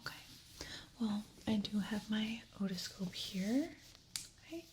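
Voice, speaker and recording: A woman speaking in a soft, breathy whisper, reassuring, as an examiner in an ASMR medical role-play. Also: medium pitch, lively intonation, unhurried, slight background noise. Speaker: ASMR creator role-playing an ear examiner.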